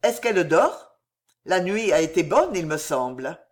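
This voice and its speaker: A woman's voice: on the low side, big pitch swings, unhurried. A woman reading a hesitant, anxious farmer. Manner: hesitant, tentative. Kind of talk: audiobook reading.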